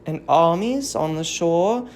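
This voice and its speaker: A woman's voice: on the low side, animated, slow, darkish tone, voice pushed down. A woman playing a frenzied young prophetess princess in a classical tragedy, declaiming in rapturous, incantatory verse. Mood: fervent. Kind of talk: classical tragedy dramatic reading.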